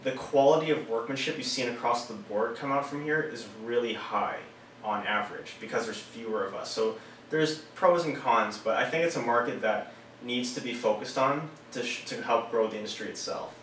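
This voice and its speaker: A man's voice: medium pitch, normal speed, a bit bright, slight background noise. A man in his thirties from Canada, casually explaining to camera from a small office with hand gestures. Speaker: car audio installer and vlogger. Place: small office with monitors, indoors. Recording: roomy sound from a distant mic.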